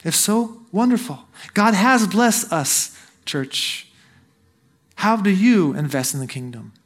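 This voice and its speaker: A man with a notably high voice delivers a sermon from the pulpit. He grew up in Brazil and speaks as a missionary in his thirties, earnest and exhorting. He keeps turning to his listeners with direct questions. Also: lively intonation, slow pace. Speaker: missionary preacher.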